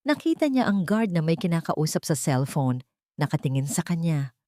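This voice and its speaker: Woman, low mellow voice, dry close sound, dramatic story narration, suspenseful short phrases. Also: very animated, normal speed, no echo.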